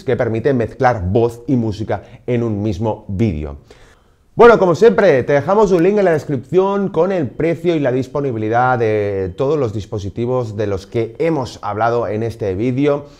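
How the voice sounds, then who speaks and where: Lively man presenting gear. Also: mid-range voice. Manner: lively, emphatic presenting with frequent "pues" and "eh" fillers. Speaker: product presenter for a motorcycle gear shop. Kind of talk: scripted product explainer to camera. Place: indoor studio set behind a desk.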